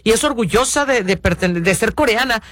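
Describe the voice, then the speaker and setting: High-pitched guy on the radio, rambling on earnestly, lots of ums, dry close sound. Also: animated, a little fast, fairly bright tone, no echo. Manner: earnest, rambling, many restarts and fillers. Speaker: radio host. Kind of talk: casual radio talk to parents.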